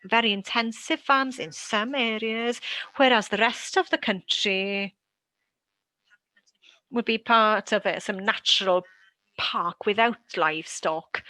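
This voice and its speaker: A woman from Wales giving a prepared conference talk as a panelist, measured and deliberate, pausing often. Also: medium pitch, sharp tone, no room sound. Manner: measured and deliberate, with frequent pauses.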